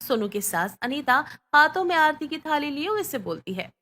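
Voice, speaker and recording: A woman's voice: high-pitched, big pitch swings, moderate pace, bright, forceful tone. A woman reading scripted bedtime story narration.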